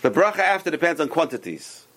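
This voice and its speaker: A man's voice: on the high side, very animated, fast, a bit bright. A man teaching an informal religious class to a group around a table, explaining step by step, repeating key points and asking the students questions. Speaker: Torah teacher.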